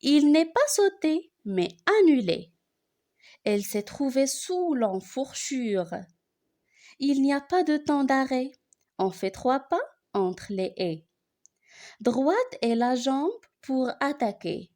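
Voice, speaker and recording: Slow, high-voiced woman reciting poetry. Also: big pitch swings, warm tone. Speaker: reciter of a school poem. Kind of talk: expressive poem recitation for learners.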